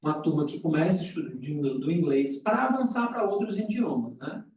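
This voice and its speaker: Higher-voiced Brazilian man, insistent, very echoey. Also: animated, a little fast, a little mellow. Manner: insistent, emphatic repetition. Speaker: meeting speaker. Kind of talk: exhortation to colleagues at a meeting. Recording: echoey room, distant mic, faint noise.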